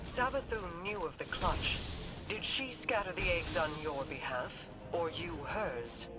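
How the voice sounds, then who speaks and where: A regal queen voiced by a woman actor speaks over prominent music. She is stern, formal and measured, a commanding, composed figure in a dramatic fantasy game. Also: fairly low pitch, lightly acted. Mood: cold, probing. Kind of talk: dramatic video game acting.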